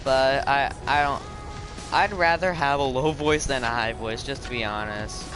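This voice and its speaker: Teen guy, low voice that cracks sometimes, rambly gaming commentary, music going behind him. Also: moderate pace, rough recording. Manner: rambling, self-correcting, chatty with a friend. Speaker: gaming video host.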